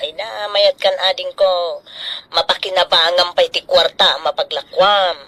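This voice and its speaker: A woman's voice: on the low side, fairly even intonation, normal speed, bright, forceful tone. A woman with an Ilocano accent playing a scheming, domineering older in-law in a radio drama, wheedling and exclaiming. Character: pushy, scheming older sister-in-law pressing to buy land.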